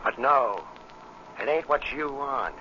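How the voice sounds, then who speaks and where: A man's voice: medium pitch, slow pace, sharp tone. An actor playing a cocky, flirtatious tough guy with slangy, smug swagger in a 1940s radio drama. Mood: boastful. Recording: muffled, noisy old radio recording.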